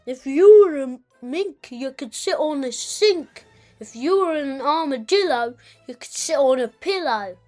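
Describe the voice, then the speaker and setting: Eager storybook dog, done by a young boy: high voice, helpful manner, music underneath. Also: lively intonation, a little slow. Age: child, 8 years old.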